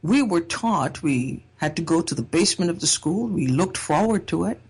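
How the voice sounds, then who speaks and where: A woman from Trinidad giving a reflective personal talk in a measured, thoughtful way, with wry humor. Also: lowish voice, lively intonation, a little mellow, husky, poor audio. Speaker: speaker sharing a personal essay.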